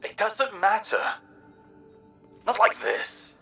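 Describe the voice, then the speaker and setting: Sharp-voiced, guarded scholar. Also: male voice, high voice, big pitch swings, takes it slowly, gravelly, far from the mic, faint music behind, heavily acted. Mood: firm, pained. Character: stern, pained scholarly man, tender toward his beloved. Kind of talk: scripted video game voice acting.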